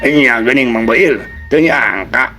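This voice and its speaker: A man's voice: mid-range voice, animated, takes it slowly, sharp tone, faint music behind, pitched above the performer's own voice. A man voicing a bossy, scheming village man in a folk tale, coaxing and exclaiming. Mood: shocked.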